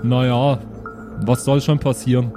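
A man's voice: mid-range voice, little pitch movement, measured pace, over music, natural voice. A man playing a curious, stubborn son who argues naively and colloquially with his papa in an improvised audio drama. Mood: stubborn, impatient.

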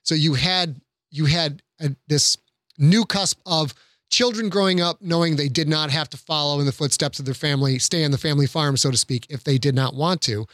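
Man, slightly high voice, thoughtful commentary, conversational, very little room sound. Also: moderate pace, fairly bright tone, no echo, clean studio sound. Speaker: podcast guest.

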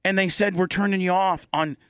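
A man's voice: high-pitched, fairly even intonation, a little fast, fairly bright tone, clear, resonant tone, dry recording, clean studio sound. A man hosting a talk show, bantering and telling stories in a casual way.

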